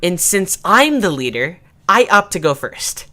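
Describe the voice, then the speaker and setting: A woman's voice: fairly low pitch, sing-song, measured pace, bright, forceful tone, slight background noise, full character voice. A woman playing a bossy, cocky young cartoon creature who acts as leader in an amateur audio drama, brash and taunting.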